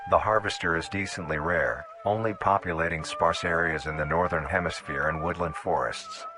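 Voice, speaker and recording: Very deep-voiced man narrating a documentary. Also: steady tone. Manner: measured, instructional delivery. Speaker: narrator of an analog horror nature documentary. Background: background music.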